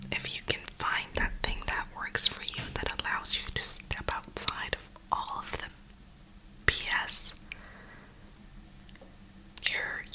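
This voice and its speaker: A woman host giving a slangy, reflective ASMR ramble in a breathy whisper. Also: pushed and bright, poor audio. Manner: slangy, reflective, unhurried pauses. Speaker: ASMR gaming video host.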